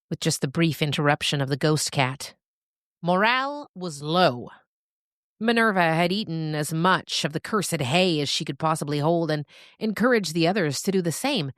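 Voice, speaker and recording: A woman narrating a scripted story expressively, with dramatic pauses. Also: sits low, dry recording, clean studio sound.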